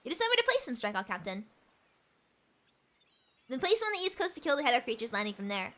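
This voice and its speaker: A woman streaming a game, reading its dialogue aloud, hoarse from a cold and losing her voice, excitable and tripping over her words. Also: a little high, quick pace. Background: game music underneath.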